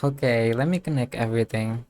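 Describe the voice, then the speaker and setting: A man's voice: medium pitch, a little mellow. Man musing through game commentary, music behind. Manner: musing aloud, thinking through things haltingly. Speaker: game streamer. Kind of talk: casual playthrough commentary.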